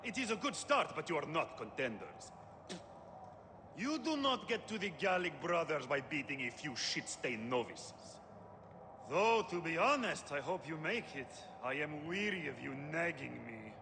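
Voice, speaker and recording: Game casting: teasing, scornful arena master, very expressive, clear background noise. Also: male voice, a little high, measured pace. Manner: sardonic, teasing, blunt. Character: gruff, mocking male arena boss and fight promoter. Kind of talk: video game voice acting. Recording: noisy arena ambience behind.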